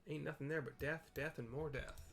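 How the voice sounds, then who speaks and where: A man's voice: on the high side, fairly even intonation, fairly quick, creaky, fairly bright tone. A man voicing a blunt, incredulous guard in a fantasy video game, scoffing and morbid.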